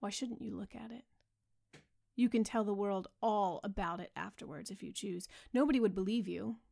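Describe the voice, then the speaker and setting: A woman's voice: mid-range voice, steady tone, normal speed, creaky, a little mellow. A woman reading the part of a proud, insolent young man who mocks his older friend, speaking in a hard, scornful way.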